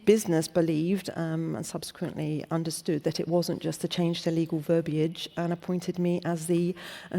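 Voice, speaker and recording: A woman answering questions on a conference panel, measured, with many hesitant fillers. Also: on the low side, mellow. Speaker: panelist, data security director. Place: conference stage before an audience. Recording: long hall reverb.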